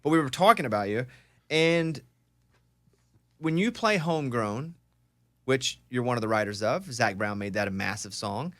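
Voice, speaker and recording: A male radio host from Arkansas with a bright, forceful voice and a mid-range pitch. He teases his guest playfully, and his voice is close and dry in the studio. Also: expressive, normal speed, pauses often, dry recording. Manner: teasing, playful. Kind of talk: radio interview chat.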